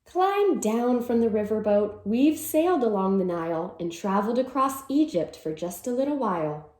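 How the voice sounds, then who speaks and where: A woman's voice: a little high, lively intonation, moderate pace, a little mellow, roomy sound. A woman teacher reading a picture book aloud to children, with sing-song verses and simple explanations.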